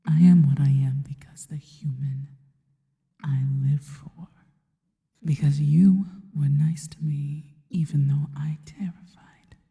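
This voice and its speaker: Woman acting a whispering, devoted spider monster girl. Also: low-pitched. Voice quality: soft, breathy whisper. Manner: slow, deliberate, reverent. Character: devoted, worshipful female spider monster girl, adoring and possessive. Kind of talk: whispered intimate ASMR roleplay.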